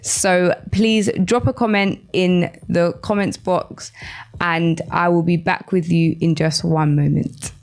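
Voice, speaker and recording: A woman with a slightly low voice hosts an educational radio programme. She speaks straight to her listeners, putting questions and prompts to them as she presents. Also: fairly even intonation, takes it slowly, a little mellow. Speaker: radio show host.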